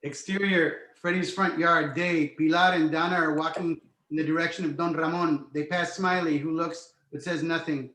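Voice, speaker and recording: A male narrator reads scene directions from a screenplay. His voice is fairly high, and it comes with clear room echo. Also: steady tone, moderate pace, fairly bright tone. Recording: roomy, echoey sound.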